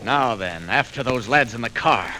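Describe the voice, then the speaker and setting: An actor playing a confident, resolute superhero who thinks aloud in clipped phrases in an old radio serial. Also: male voice, medium pitch, animated, bright, forceful tone. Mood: triumphant. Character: heroic, resolute male superhero, brisk and confident. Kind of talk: 1940s radio serial acting. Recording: very noisy old radio recording, rushing sounds.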